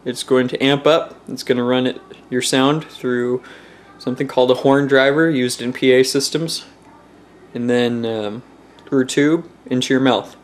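A man's voice: mid-range voice, steady tone, normal speed. Casual young man explaining a DIY build. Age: young adult. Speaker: DIY tutorial presenter. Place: indoors in a home. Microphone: handheld camera at close range.

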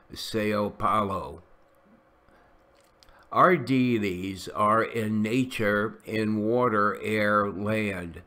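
A man's voice: lowish voice, fairly bright tone. A middle-aged man reading an article aloud in a casual way, stumbling over names and adding little asides. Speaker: channel host reading a news article.

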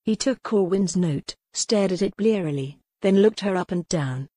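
A woman's voice: mid-range voice, expressive, normal speed, dark tone, dry recording. Dry-sounding female audiobook narrator. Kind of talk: scripted narration of a historical romance.

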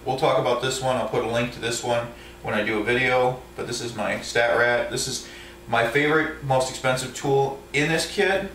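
A man's voice: medium pitch, fairly even intonation, moderate pace, hardly any pauses, fairly bright tone, far from the mic, a little hiss or hum. A man, a truck driver, casually showing his tools with lots of ums and restarts, recorded at home by a camera set up overhead. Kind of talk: casual show-and-tell of tools. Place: indoor room at home. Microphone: camera set up overhead, a few feet from the speaker. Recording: echoey room, voice a bit off mic.

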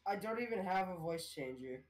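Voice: mid-range voice, animated, a little fast, a crowd in the background, poor audio. An exasperated, insistent young-sounding speaker in a casual online group voice call.

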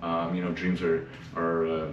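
A man's voice: low-pitched, monotone, a little slow, highs cut off. A man in his thirties, a comedian, giving casual interview answers. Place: small backstage dressing room. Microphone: camera across the room. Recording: echoey room, distant mic, faint noise.

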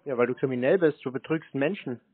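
A man's voice: medium pitch, fast, husky. A man on a phone call, taunting and insulting the other side with dry, short retorts. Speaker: scam baiter taking a scam call. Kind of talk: prank phone conversation. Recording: thin narrowband phone line.

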